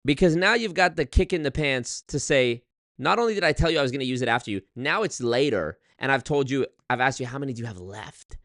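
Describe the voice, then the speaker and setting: A man telling a story on his livestream in an animated, exasperated way, swearing often and reading chat. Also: mid-range voice, fast, no echo, studio quality. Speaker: streamer. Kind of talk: casual livestream rant.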